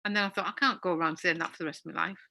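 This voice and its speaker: A woman's voice: fairly low pitch, fairly even intonation, rapid-fire, vocal fry, bright, forceful tone. A middle-aged woman with a North East English accent that drifts toward Yorkshire, chatting as a podcast co-host, teasing and incredulous, laughing often. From North East England. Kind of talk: casual chat.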